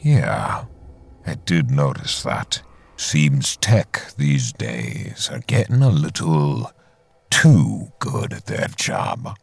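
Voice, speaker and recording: Weary deadpan detective, extremely low voice, slow delivery, audio drama noir. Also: male voice, creaky, heavily acted. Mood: weary, dry.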